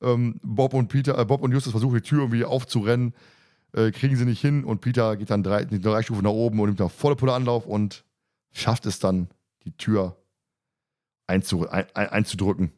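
A man's voice: on the low side, steady tone, fairly quick, mellow. A man hosting a podcast, chatting casually and retelling a story in an informal, self-interrupting way. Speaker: podcast host. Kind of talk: casual podcast chat, retelling an audio drama episode.

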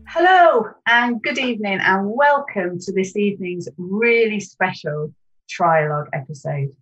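A woman hosting an interfaith panel discussion in a measured, welcoming tone, now and then clearing her throat. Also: medium pitch, animated, a bit bright, lo-fi sound, faint music behind. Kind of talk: presenting and leading a short prayer.

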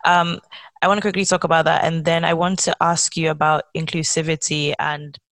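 A woman's voice: low voice, level tone, fairly quick, a bit bright, no room sound. A woman hosting an interview, listening attentively and offering short affirmations before asking her questions. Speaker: host. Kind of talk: casual interview chat.

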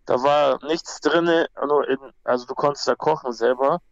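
A man's voice: medium pitch, normal speed, no echo, muffled recording. A young man from Peru, a guest talking casually and bluntly, with slang and many restarts. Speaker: guest, MMA fighter. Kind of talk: casual conversation.

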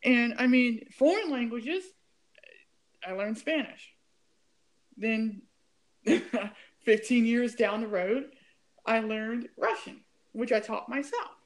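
A woman's voice: a little high, takes it slowly, pauses often. A woman hosting an interview, chatty and emphatic, sharing her opinions with a ranting edge. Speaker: podcast host. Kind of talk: casual interview chat.

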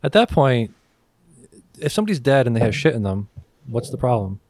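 A man's voice: mid-range voice, sing-song, brisk, darkish tone, no room sound, clean studio sound. A man hosting a podcast in casual banter, joking crudely and swearing freely. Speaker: podcast host.

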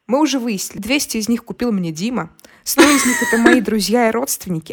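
Expressive young woman, higher-pitched voice. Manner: expressive, informal, acts out her inner dialogue. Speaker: podcast guest, singer and content maker. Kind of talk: casual conversational interview answers.

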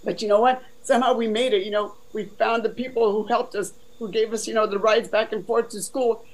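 An older woman from Southern California with a deep voice, telling her life story in a casual, chatty interview full of "you know" fillers. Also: steady tone, brisk, poor audio.

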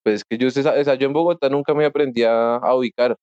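A young man talking in a relaxed group chat, pausing and restarting as he searches for words. His voice is dry and close, with slightly dull, muffled sound. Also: mid-range voice, dry recording. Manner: halting, many restarts and fillers.

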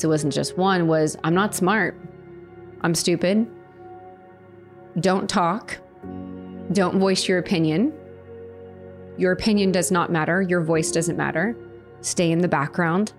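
A woman with a fairly low voice speaks earnestly about her own life, pausing and restarting as she reflects. Soft music plays faintly beneath her. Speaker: interviewee sharing her faith story. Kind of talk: personal testimony.

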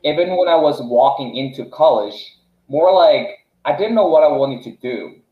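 A man's voice: on the high side, lively intonation, echoey room, narrow, tinny audio. A young man from South Korea, a startup founder telling his story as a podcast guest, with frequent restarts and fillers. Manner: halting, many restarts and fillers. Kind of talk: casual podcast interview.

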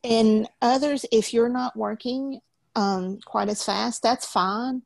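A woman's voice: fairly high pitch, steady tone, slow pace, gravelly, darkish tone. A woman in her forties patiently teaching a beginner loom knitting class over a video call, step by step. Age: 40s.

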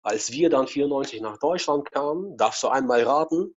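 Interview guest, forties, husky voice, rambling storyteller, muffled recording. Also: male voice, mid-range voice, expressive, normal speed. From former Soviet Union. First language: Russian. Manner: rambling with many fillers and tag questions. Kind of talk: casual personal storytelling.

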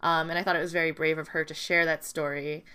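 A woman's voice: low voice, little pitch movement, fast talker, bright, forceful tone, clean tone. A woman co-hosting a podcast, giving casual news commentary in a conversational way with plenty of "like". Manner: conversational, peppered with "like". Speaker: podcast co-host.

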